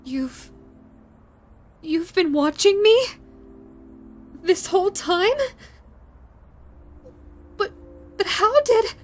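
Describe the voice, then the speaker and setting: A woman voicing a scarred, grieving female yokai ghost who hates herself, talking to the listener in a halting, stammering way. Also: very high voice, lively intonation, soft background music, heavily acted. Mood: startled. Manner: halting, stammering, repeats words. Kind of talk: audio roleplay to the listener.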